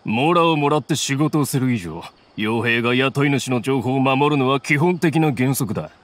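An actor playing a gruff, stubborn male mercenary in an anime game, scornful and defiant in rough phrasing. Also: mid-range voice, moderate pace, a little hiss or hum, close to an everyday voice. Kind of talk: Japanese anime game voice acting.

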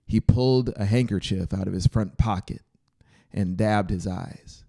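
A dark, creaky-voiced man in his thirties reads a story aloud. His voice sits low, and he is close to the mic in a small studio, narrating an audiobook. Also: a little slow. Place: small brick-walled home studio. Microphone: close dynamic mic on a boom arm.

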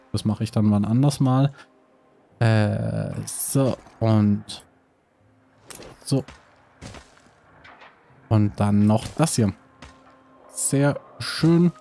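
A man's voice: on the low side, dark tone. Man with a cold, thinking aloud. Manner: thinking aloud, many hesitations. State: has a cold. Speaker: let's play host. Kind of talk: casual gameplay commentary.

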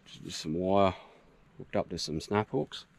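A man in his thirties with an Australian accent, casually walking through a homemade build outdoors with relaxed, practical talk. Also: sits low, unhurried, vocal fry. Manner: relaxed, practical, with frequent fillers. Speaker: vlogger. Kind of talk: casual how-to walkthrough. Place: outdoors in a grassy bush camp. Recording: outdoor noise behind the voice.

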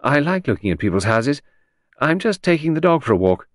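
A man putting on a raised voice for a cool, wry young woman sculptor with an offhand manner. The delivery is lively and dry. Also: on the high side, a little fast, no room sound, pitched above the performer's own voice.

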